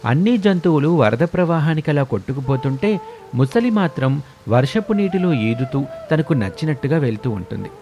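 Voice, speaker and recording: A man's voice: fairly high pitch, big pitch swings, normal speed, dark tone. A man narrating a scripted story.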